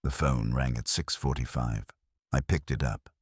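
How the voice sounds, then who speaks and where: A man's voice: extremely low pitch, steady tone, normal speed, slightly rough, dry recording, clean studio sound. A man reading a first-person detective story as a scripted narration. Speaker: audiobook narrator.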